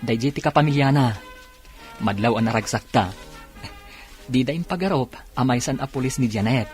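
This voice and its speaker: A man's voice: medium pitch, moderate pace, darkish tone, faint music behind, close to an everyday voice. A man playing a humble, good-natured young policeman with an Ilocano accent in a radio comedy drama. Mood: grateful, amused. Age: young adult.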